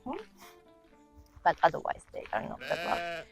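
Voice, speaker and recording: A woman, casually vlogging outdoors and often pausing to search for words. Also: fairly low pitch, vocal fry, music in the background. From Finland. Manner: relaxed, thoughtful, pauses to search for words. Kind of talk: casual walk-and-talk vlog. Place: outdoors in the countryside.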